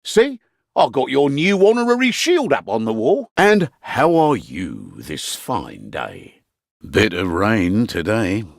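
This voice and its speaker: Wry tavern veteran, wildly animated delivery. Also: male voice, lowish voice, takes it slowly, creaky, dry recording, clean studio sound, full character voice. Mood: dry banter. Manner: wry, blunt, colloquial banter. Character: gruff, wry veteran innkeeper and mission quartermaster, male. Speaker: quest-giver in a fantasy game. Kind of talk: fantasy video game character barks.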